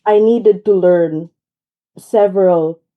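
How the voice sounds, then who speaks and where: A woman's voice: mid-range voice, takes it slowly. A young woman with a Filipino accent, a radio interview guest calling in, who talks politely and mixes Tagalog and English with frequent fillers. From Philippines. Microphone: phone call. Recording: tinny phone line.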